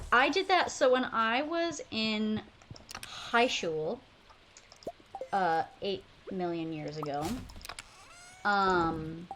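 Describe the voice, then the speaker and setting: A woman's voice: mid-range voice, fairly bright tone, slight background noise, rough recording. A young woman streaming a game, chatting casually in a loose, rambling way full of fillers. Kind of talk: casual chat while playing a game.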